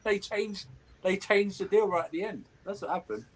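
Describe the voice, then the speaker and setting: A man with a high voice chatting casually in a group, joking and laughing easily. A faint noise sits under his voice. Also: lively intonation, normal speed, poor audio. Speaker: panelist. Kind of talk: casual chat.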